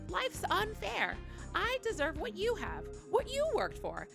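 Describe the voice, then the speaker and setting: A cheeky, shameless little goblin in a comedy skit. The voice is high and squeaky with plenty of gravel, swinging wildly in pitch over clear background music. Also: female voice, wildly swooping pitch, unhurried, full character voice. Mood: gleefully shameless. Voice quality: squeaky, creaky. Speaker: reader of viewer tips. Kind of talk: comedic character reading. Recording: clear music bed behind.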